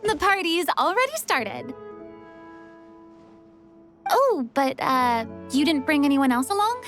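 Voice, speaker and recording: Very high female voice, theatrical young hostess, bubbly, swooping, game dialogue. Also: very animated, slow pace, a bit bright, faint music behind, heavily acted. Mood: delighted. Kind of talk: video game voice acting.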